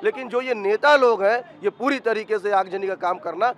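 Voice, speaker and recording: Man in his 30s, eastern UP accent, high voice, emphatic opinions out on the street. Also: moderate pace, a bit bright. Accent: eastern Uttar Pradesh. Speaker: local interviewee. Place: outdoors, seated by a street wall. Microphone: handheld reporter's microphone.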